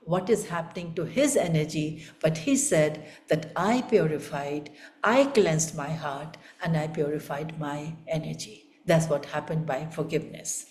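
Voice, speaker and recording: Female spiritual teacher, Indian accent, mellow dark tone, earnest and emphatic discourse, roomy echo. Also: low voice, unhurried. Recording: echoey room.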